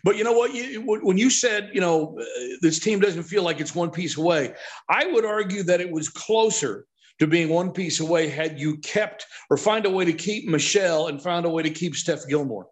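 A man's voice: high voice, normal speed. A middle-aged man talking as a sports pundit on a podcast, emphatic and opinionated, often posing rhetorical questions.

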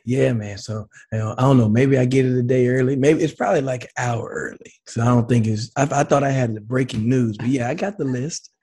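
A man co-hosting a casual sports show, relaxed and laid-back, his voice slightly raspy and scratchy. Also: medium pitch, fairly quick, hardly any pauses. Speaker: co-host, former player.

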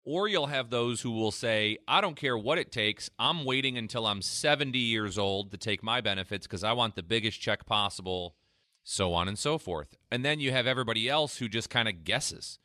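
A man hosting a retirement finance radio show, pitching a free tax analysis and explaining when to claim benefits. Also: normal speed, sharp tone, dry recording, clean studio sound. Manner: persuasive sales pitch, then explanatory. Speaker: financial advisor radio host.

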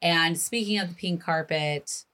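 Raspy woman co-hosting a podcast. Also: on the low side, unhurried, pushed and bright. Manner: upbeat, agreeable, often says yes. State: hoarse from losing her voice. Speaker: podcast co-host. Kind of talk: casual chat.

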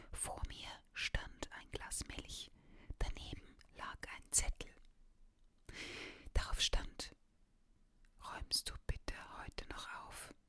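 Woman whispering a story, slow and soft. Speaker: reader. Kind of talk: whispered storybook reading, ASMR.

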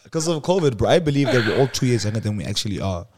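A man's voice: medium pitch, big pitch swings, quick pace, background noise. A man, hosting a casual podcast chat in a loose, joking way and laughing often. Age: 20s. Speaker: podcast host.

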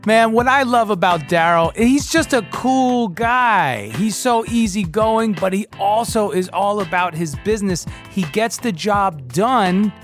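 A man's voice: sits high, lively intonation, measured pace, hardly any pauses. A man hosting a podcast, speaking in an enthusiastic, laid-back voice-over. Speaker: podcast host. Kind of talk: recorded voice-over outro. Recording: music bed behind.

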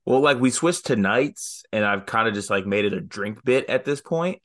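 A man from Michigan co-hosting a casual sports podcast, relaxed and easygoing in his banter. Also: on the low side, normal speed. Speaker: podcast co-host.

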